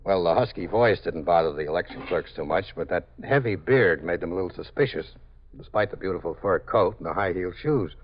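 A man's voice: low-pitched, lively intonation, fairly quick. A man with an American accent playing a tired, wry detective lieutenant with an easygoing manner in a 1950s radio drama. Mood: wry, amused. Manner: easygoing, anecdotal, deferential. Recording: muffled 1950s radio recording.

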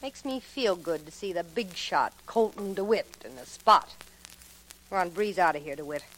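A woman's voice: lowish voice, lively intonation, normal speed, low-quality recording. A woman acting a brassy, insolent nightclub singer and blackmailer with sassy, slangy delivery in a 1940s radio drama. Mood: taunting. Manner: sharp, sassy, slangy wisecracking.

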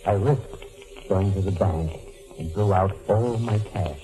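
An actor playing a desperate, unhinged doctor on the run, speaking and narrating intensely in 1940s radio mystery style. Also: male voice, sits low, slow, music in the background, rough recording. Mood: tense, hushed. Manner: intense, melodramatic, swinging into near-hysteria. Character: desperate, unhinged fugitive doctor confessing his murders.

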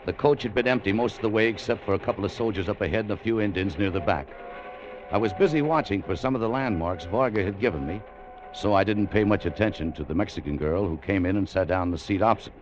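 An actor playing a tough, wry American opportunist with terse, slangy delivery in hardboiled old-time radio drama style. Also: male voice, lowish voice, fast, lightly acted. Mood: wry narration. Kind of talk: 1940s-50s hardboiled radio drama acting. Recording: muffled, noisy vintage radio recording.

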